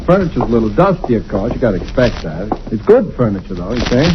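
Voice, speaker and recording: A folksy small-time realtor, sing-song patter, hissy old radio sound. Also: male voice, fairly low pitch, sing-song, fast talker. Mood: chatty. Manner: chatty, folksy salesman patter. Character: folksy small-time male real estate agent. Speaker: actor in radio drama. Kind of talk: 1940s radio acting. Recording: dull old radio recording with hiss.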